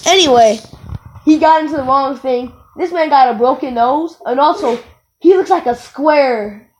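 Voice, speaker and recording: High-voiced woman telling rambling casual stories. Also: moderate pace, poor audio. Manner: animated, digressive, self-correcting. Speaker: video creator telling stories. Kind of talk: casual rambling storytelling to viewers.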